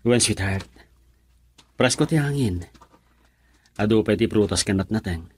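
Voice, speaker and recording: A man's voice: on the low side, expressive, a little slow, breathy edge, no room sound. A young man playing a devoted, reassuring boyfriend in an Ilocano radio drama. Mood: tender.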